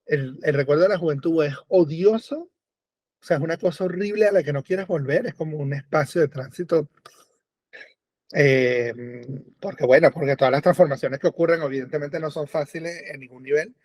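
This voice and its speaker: A Venezuelan-born man chatting as a radio guest, animated and full of fillers and rephrasing. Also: a little high, a little fast, dry recording, studio quality. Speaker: guest, book editor. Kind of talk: casual radio conversation. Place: remote call or studio, lives in Europe.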